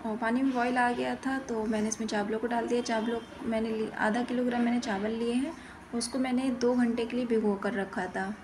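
Lady doing a home cooking voiceover, flat delivery, kinda high voice, noticeable background noise. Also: a little fast. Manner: instructional, plain-spoken. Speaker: cooking channel host. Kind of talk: step-by-step recipe voice-over narration.

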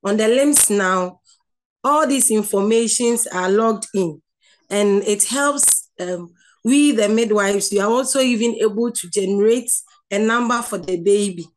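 A woman with a Ghanaian accent giving an online lecture over slides, explaining slowly with many restarts and filler sounds. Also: mid-range voice.

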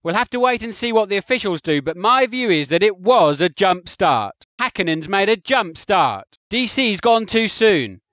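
A man gives matter-of-fact race analysis in a high, lively voice. Each line sounds recorded in a studio booth, close to the mic. The sound is dry. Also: moderate pace, hardly any pauses, dry recording. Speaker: co-commentator. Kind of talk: recorded game commentary lines.

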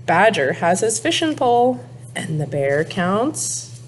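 A woman in her thirties, a teacher, reading a picture book aloud to young children in a sing-song, deliberate way with exaggerated emphasis. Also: mid-range voice, sing-song, a little hiss or hum. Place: small classroom, indoors.

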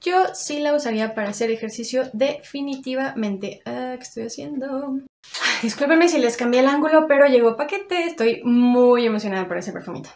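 Young woman, high voice, chatty, expressive, small bedroom, camera at desk distance. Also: normal speed. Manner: chatty, expressive, uses diminutives. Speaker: beauty vlogger. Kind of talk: casual product review. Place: small bedroom with vanity mirrors, indoors.

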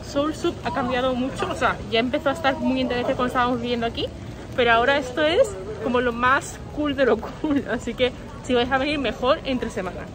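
Quick, high-voiced chatty woman vlogger. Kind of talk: casual travel vlog chat. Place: outdoors on a busy city street. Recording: music and crowd noise behind.

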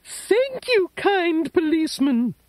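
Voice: sing-song, takes it slowly, darkish tone, heavily acted. A storyteller voicing an emotional old lady who dotes on a plant, over background music. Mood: grateful. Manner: shrill, exclaiming. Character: tearful, doting old lady.

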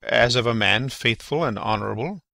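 Man as courteous king, gravelly edge, forceful, formal, regal, classical stage drama. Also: mid-range voice, moderate pace, no echo. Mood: gracious, regal. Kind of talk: classical Shakespearean stage acting, dramatic reading.

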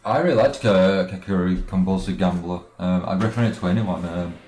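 A man streaming a game with casual chat, talking in a halting, hesitant way. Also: deep voice, a little mellow. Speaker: let's play streamer. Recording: roomy microphone with game music behind. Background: game background music.

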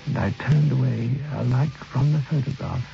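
An actor playing a brooding man who narrates a dark radio drama in grave, literary, measured phrases. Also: mid-range voice, gravelly. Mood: horrified. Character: brooding male first-person narrator of a dark tale. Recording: dull old radio recording with music.

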